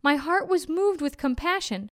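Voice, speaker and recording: A woman plays a kindly Brahmin from a storybook. He explains himself earnestly and patiently, with a rueful air, in a fairly high voice recorded dry. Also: expressive, normal speed, a bit bright, dry recording. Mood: sorrowful. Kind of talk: storybook character voice.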